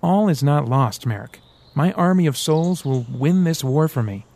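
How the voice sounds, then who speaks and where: A man voicing a smooth, sarcastic male devil who teases and threatens by turns in an audiobook. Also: a little high, big pitch swings, normal speed, darkish tone, voice pushed up. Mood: persuasive. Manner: teasing, sarcastic, theatrical. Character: sly mocking male devil, smooth, menacing.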